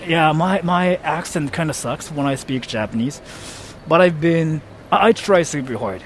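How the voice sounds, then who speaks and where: American male streamer in his twenties, light joking delivery, voice a touch high, faint noise in the background. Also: expressive, normal speed. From United States. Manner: joking, self-mocking, reads chat aloud. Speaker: streamer reading donations. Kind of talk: casual live stream chat.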